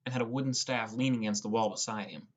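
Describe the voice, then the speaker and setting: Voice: medium pitch, fast talker, slightly rough. An audiobook narrator reading a scripted first-person fantasy story.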